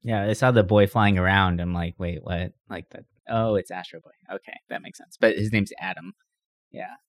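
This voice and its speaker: A man's voice: deep voice, steady tone, moderate pace, no room sound, clean studio sound. A man co-hosting a podcast, chatting casually and giving recommendations in loose, informal phrasing. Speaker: podcast co-host. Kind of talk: casual chat, recommending anime.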